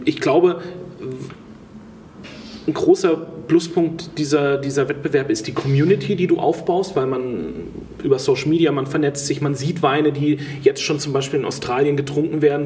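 Relaxed man speaking from a distance. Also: medium pitch, normal speed, slight background noise. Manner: relaxed, thinks aloud with many fillers. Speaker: sommelier guest. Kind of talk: casual interview talk. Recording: distant mic with faint ambience.

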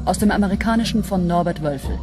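A woman reading radio drama credits as an announcer, in a measured, clipped list delivery. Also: fairly low pitch, over music.

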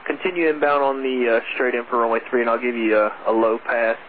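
Male tower controller, brisk and procedural, heard through a tinny, static-laced radio. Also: mid-range voice, fairly even intonation. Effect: aviation radio. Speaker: air traffic controller. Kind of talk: radio instructions to pilots. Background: radio noise.

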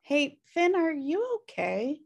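A woman's voice: high-pitched, big pitch swings, slow pace, gravelly, exaggerated voice. A woman playing a blunt, sarcastic, slobbish sister in a comedy table read. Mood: unbothered. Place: indoor room on a video call. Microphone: video call.

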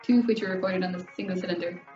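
A woman's voice: lowish voice, steady tone, racing, darkish tone, muffled recording. A young woman with an Irish accent races through a scripted educational narration. She sounds distant in a roomy space, with music behind her. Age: young adult. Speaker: student presenter. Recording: band-limited, roomy, distant mic, faint music.

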